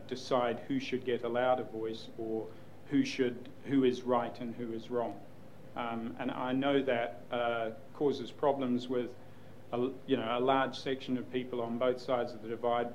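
A man in a question session, answering at length in a measured way with frequent pauses and ums. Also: mid-range voice, darkish tone, dull audio, a little hiss or hum. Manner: measured, hesitant with many ums. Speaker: news executive answering questions. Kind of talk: Q&A panel talk.

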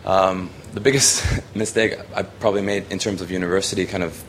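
Young guy from hong kong, really low voice, lots of ums, faint room hum behind. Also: steady tone, a little fast. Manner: rambling, many ums and restarts. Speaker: student photographer presenting his work. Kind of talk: casual spoken presentation.

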